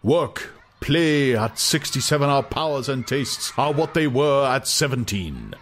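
A man voicing a grandiose older world leader in an audiobook, lecturing in measured oratory and savouring each list. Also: medium pitch, sing-song, a bit bright, slight background noise, voice pushed up. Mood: grandiose, rhapsodic. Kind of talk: dramatized audiobook acting.

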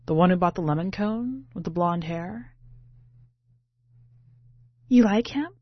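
A woman narrator acts out a brash young woman from a short story, teasing and dismissive. Her voice lifts above a low register, in a roomy, slightly muffled recording. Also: lively intonation, a little slow. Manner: playfully hostile, dismissive.

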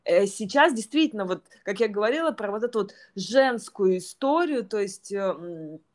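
A woman's voice: medium pitch, lively intonation, moderate pace. A woman teaching yoga and trained as a clinical psychologist, speaking thoughtfully in an interview, with many fillers and restarts. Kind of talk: reflective interview conversation.

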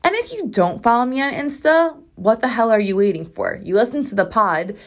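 A woman in her twenties hosts her solo podcast in a rambling, emphatic style, swearing freely. Her voice is strained and hoarse, and she is recording from her bathroom at home. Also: a little high, sing-song, brisk. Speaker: podcast host. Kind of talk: casual solo podcast chat.

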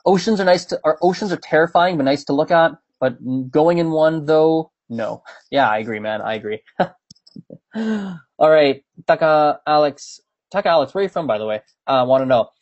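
A man's voice: fairly high pitch, animated, moderate pace, a little mellow, dull audio. A young man whose first language is Gujarati, chatting casually and thoughtfully on a livestream from home as he answers viewer questions.

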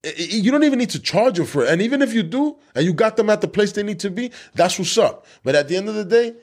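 A man in his thirties hosts a casual podcast chat, his voice riding high and swinging widely in pitch. He talks in loose, profane street slang, stumbling over restarts. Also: expressive, fast. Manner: loose, swearing street talk with many restarts. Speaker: podcast host.

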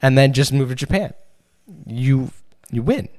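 A man's voice: medium pitch, lively intonation, measured pace, darkish tone. A young man co-hosting a casual podcast, rambling and teasing, with frequent restarts. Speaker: podcast co-host. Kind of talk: crude casual chat.

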